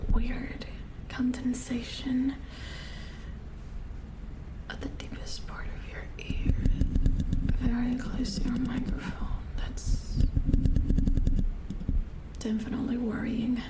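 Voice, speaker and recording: A woman playing an attentive, mildly worried robot repair engineer in a soft-spoken ASMR roleplay, murmuring hesitantly in a breathy whisper as she works. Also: a little high, little pitch movement, takes it slowly, pauses often, rough recording. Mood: worried, puzzled.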